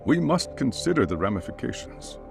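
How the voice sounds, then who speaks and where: An actor playing a cautious, authoritative male school chairman in an English video game dub, formal and deliberate. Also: mid-range voice, sing-song, creaky, music in the background. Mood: grave. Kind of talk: English-dubbed video game cutscene acting.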